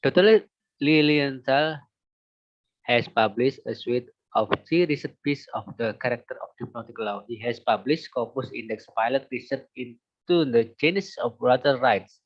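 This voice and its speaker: A man moderating an online academic event, formally reading out a speaker's biography in a halting way, stumbling over names. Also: medium pitch. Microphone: video call. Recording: dull, lo-fi online call audio.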